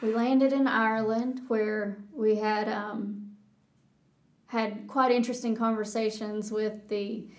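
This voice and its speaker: Texan lady pilot telling her story, pretty monotone, keeps restarting sentences. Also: on the high side. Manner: flat, measured, with many restarts. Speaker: pilot guest. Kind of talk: casual interview answers.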